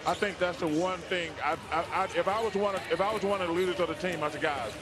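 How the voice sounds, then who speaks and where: A man's voice: sits high, quick pace. A man giving sports analysis in a panel debate, colloquial and insistent.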